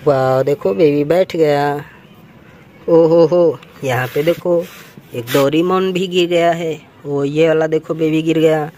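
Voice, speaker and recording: High-pitched man narrating toy play. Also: steady tone, slow, mellow, slight background noise. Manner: exclamatory, sing-song, repetitive interjections. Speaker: toy channel presenter.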